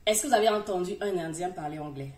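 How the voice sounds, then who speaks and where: A woman's voice: on the low side, fast talker. Encouraging woman in a roomy space. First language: French. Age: middle-aged. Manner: encouraging, addresses the viewer directly, emphatic swings in loudness. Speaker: YouTuber giving language-learning tips. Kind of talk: direct-to-camera advice video. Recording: roomy, distant from the mic.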